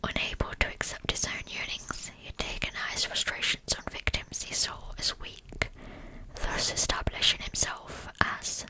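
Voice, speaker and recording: A woman hosting true crime ASMR, telling her material entirely in a soft, breathy, unvoiced whisper. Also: normal speed, poor audio.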